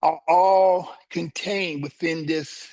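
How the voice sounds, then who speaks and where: A man in his seventies teaching yoga, guiding students through their body and explaining the practice in a slow, deliberate, explanatory way with short pauses. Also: a little high, steady tone, a little mellow, no echo. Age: 70s. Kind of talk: guided yoga instruction with teaching asides.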